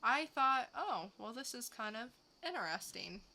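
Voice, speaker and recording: A woman's voice: on the high side, sing-song, measured pace, sharp tone, a little hiss or hum. A woman co-hosting a podcast about comic books, speaking in an informal review-show style. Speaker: podcast co-host. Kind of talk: casual chat and scripted comic previews.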